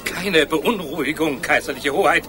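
A man's voice: on the high side, moderate pace, lightly acted. An actor playing an impatient, haughty male nobleman of the imperial court, in a radio play. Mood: imperious. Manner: imperious, irritable. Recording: radio play with street ambience.

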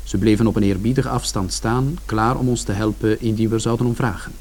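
An actor playing a reflective son who narrates a bleak family story in a radio drama. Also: male voice, lowish voice, steady tone, a little fast, a little mellow. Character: George, reflective younger son recalling his family's bleak Saturday. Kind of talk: scripted first-person narration in radio drama.

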